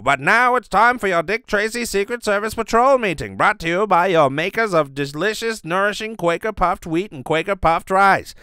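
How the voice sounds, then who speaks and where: Man doing an emphatic old-time radio announcer, high-pitched. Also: bright, forceful tone, clear, resonant tone, dry recording, studio quality. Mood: dramatic, upbeat. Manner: emphatic, salesmanlike. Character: booming, enthusiastic male radio announcer pitching cereal premiums. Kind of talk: 1930s radio advertising announcer.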